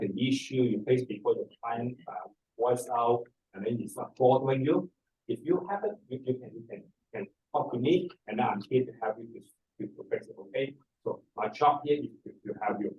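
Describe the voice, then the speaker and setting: Older-ish hong kong guy teaching a seminar, halting and repeating himself, mic kinda far away. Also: medium pitch, dark tone, dull audio. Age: middle-aged or older. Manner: halting, repeats words, hesitant phrasing. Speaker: feng shui master, seminar speaker. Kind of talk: informal seminar presentation. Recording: distant microphone in a room.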